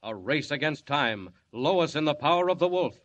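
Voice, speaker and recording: A man's voice: a little high, animated, normal speed, fairly bright tone, lo-fi sound. A man narrating a 1940s radio serial in a dramatic, urgent announcer manner.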